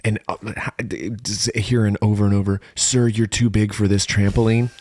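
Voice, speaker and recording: A man's voice: lowish voice, flat delivery, moderate pace, darkish tone, dry recording. An American man co-hosting a podcast in casual comedic chat, riffing playfully and repeating phrases for effect. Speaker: podcast co-host.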